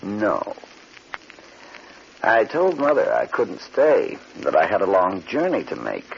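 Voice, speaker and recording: Low, gravelly, menacing convict. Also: male voice, wildly swooping pitch, takes it slowly, exaggerated voice. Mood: mocking menace. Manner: mock-friendly, threatening. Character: smooth-talking, menacing escaped convict, a wayward brother. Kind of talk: 1940s radio acting. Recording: dull, hissy 1940s radio broadcast.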